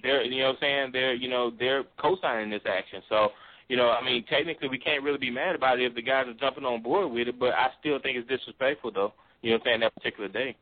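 A baritone man gives his opinion at a fast clip, pressing his points and laughing often. He talks with a dry, close sound. His voice comes through a thin phone line. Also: no room sound. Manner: emphatic, laughs often, repeats "you know what I'm saying". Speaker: guest or co-host on a call-in talk show. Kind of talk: casual opinionated chat.

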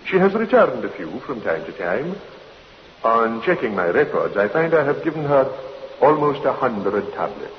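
An actor playing a formal, defensive doctor giving testimony in a radio courtroom drama, careful and precise. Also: male voice, mid-range voice, big pitch swings, normal speed, close to an everyday voice. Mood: carefully defensive. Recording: reverberant, muffled, hissy old radio recording.

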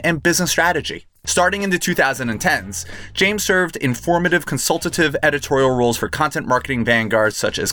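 A man's voice: mid-range voice, pushed and bright. A man hosting an interview podcast, speaking in a polite, appreciative way as he introduces and questions his guest. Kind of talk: scripted introduction, then conversational interviewing.

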